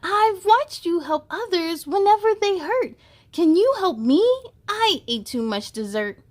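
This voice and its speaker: A woman voicing a big furry male monster who is timid, gentle and polite, in a storybook read-aloud. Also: very high voice, animated, a little slow, pitched above the performer's own voice. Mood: pleading. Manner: polite, earnest.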